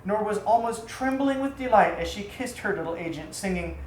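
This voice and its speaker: A woman narrates an exotic story with expressive, theatrical delivery. Her voice sits at a middle pitch. The room adds clear echo, and there is faint noise behind her. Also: moderate pace. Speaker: storyteller reading aloud. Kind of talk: scripted narration of an exotic tale.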